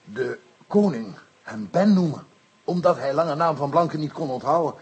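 An African tribesman giving testimony in a radio drama. His voice is very husky and fairly high for a man, and he speaks in simple, broken foreigner's sentences. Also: lively intonation, full character voice. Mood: solemn, recounting. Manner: broken, foreigner's grammar, simple halting phrases. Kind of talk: radio play acting.

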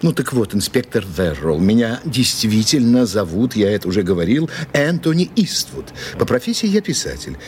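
An actor playing a suave, composed gentleman writer in a radio drama, speaking with courteous irony. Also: on the low side, very animated, a little hiss or hum. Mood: assured, ironic. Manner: courteous, ironic, unhurried. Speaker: actor in an audio play. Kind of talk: Russian radio drama acting.

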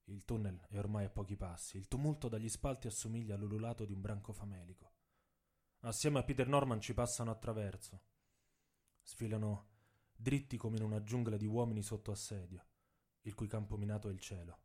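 A man's voice: on the low side, a little fast, warm tone. A man reading a scripted narrative as a storyteller, with an intense, dramatic, literary delivery. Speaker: narrator and author reading own text.

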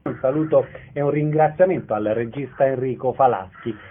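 A man's voice: mid-range voice, brisk. A man working as a radio interviewer, heard through thin telephone-like sound.

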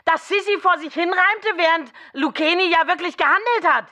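A woman's voice: very high-pitched, normal speed, bright, forceful tone, dull audio. An actress playing an insistent, indignant East German woman who rants against aristocracy and talks over others in a radio play. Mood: scornful. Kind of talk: heated opinion monologue in a radio play. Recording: music underneath. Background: music.

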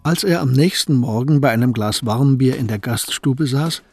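A man narrating a radio play in an even storytelling manner. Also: mid-range voice, normal speed, gravelly, soft background music.

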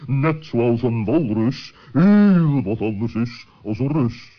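A man voicing a dignified, fussy owl, deadpan and pompous, in a children's radio play. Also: medium pitch, slow. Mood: fussy refusal. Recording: muffled old sixties recording.

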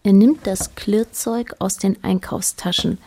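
Mellow, creaky-voiced woman narrating drily. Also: mid-range voice, fairly even intonation, a little slow. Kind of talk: scripted literary radio-drama narration.

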